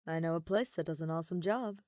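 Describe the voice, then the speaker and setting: A relaxed, easygoing teenage boy, read by a woman in a storybook reading. He speaks casually and teases gently. The voice stays low and the recording is dry and close. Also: fast talker, no room sound, close to an everyday voice. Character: laid-back easygoing teen boy.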